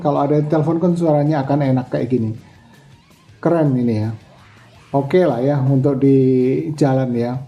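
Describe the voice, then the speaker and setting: An older man giving a relaxed, chatty product review, full of fillers and restarts. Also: medium pitch, lo-fi sound. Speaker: motorcycle gear reviewer.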